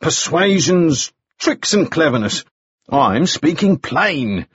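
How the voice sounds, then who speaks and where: A boisterous frontier busybody from a storybook, played by a male narrator, pitched high and leaping about with hearty insistence. He pushes every phrase loudly and jovially, with a slightly husky edge. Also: sing-song, slow, dry recording, muffled recording, exaggerated voice. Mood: jovial, insistent. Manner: loud, emphatic, hearty exhortation. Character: boisterous, jovial, prying gold-rush man, loud and insistent.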